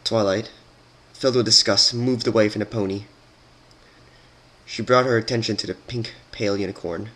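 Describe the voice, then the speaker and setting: A man's voice: fairly low pitch, fairly even intonation, normal speed, pauses often, a bit bright, poor audio. A man reading scripted story narration as a dramatic reading.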